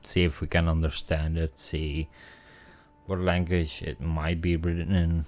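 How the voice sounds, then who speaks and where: Very deep-voiced, slow-paced man. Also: little pitch movement, a little mellow. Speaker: game streamer. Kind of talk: casual game commentary with read-aloud narration. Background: faint music.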